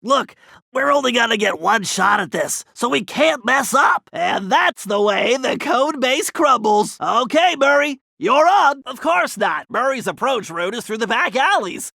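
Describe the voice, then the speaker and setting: A man's voice: extremely high pitch, animated, unhurried, creaky, sharp tone, no echo, heavily acted. A man voicing a brainy, cautious turtle hacker in a cartoon video game, with a nasal voice and precise, wordy, slightly fussy delivery. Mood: instructing.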